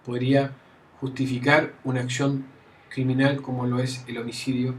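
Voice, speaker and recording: A man delivers a university lecture at an ordinary medium pitch. He talks freely, restarting phrases and pausing to check that the students follow. Faint room noise sits behind his voice. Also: little pitch movement, low-quality recording. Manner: frequent fillers and restarts, checks understanding with "¿ya?". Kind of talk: classroom lecture. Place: classroom.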